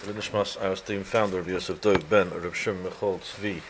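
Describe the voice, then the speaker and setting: Casting: low, monotone male announcer over a noisy, clicking recording. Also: brisk. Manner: flat, list-like delivery. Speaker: event announcer.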